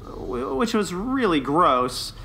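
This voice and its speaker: A man with a bright, forward voice chats as a podcast co-host. He is informal and enthusiastic as he gives his opinions in a casual review discussion, over noticeable background noise. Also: high-pitched, sing-song, slow, vocal fry. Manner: informal, enthusiastic, laughs often.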